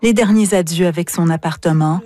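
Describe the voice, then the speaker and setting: A woman's voice: on the low side, brisk, dark tone. A woman narrating a scripted radio news report in a composed way.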